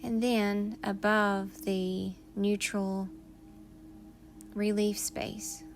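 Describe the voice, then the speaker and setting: A woman leading a guided meditation in a soothing, unhurried manner, leaving long pauses between phrases. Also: medium pitch, flat delivery, soft background music.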